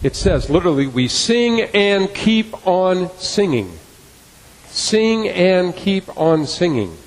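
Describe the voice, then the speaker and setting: Earnest preacher in a reverberant hall. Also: male voice, sits high, very animated, slow. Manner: earnest, repeats key phrases for emphasis. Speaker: pastor. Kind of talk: sermon. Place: church sanctuary. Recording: long reverb of a church hall.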